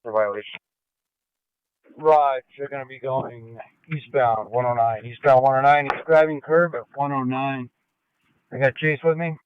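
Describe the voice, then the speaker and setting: A man's voice: mid-range voice, steady tone, normal speed. A man, a police officer, making terse calls over a police radio. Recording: tinny phone-like police radio signal.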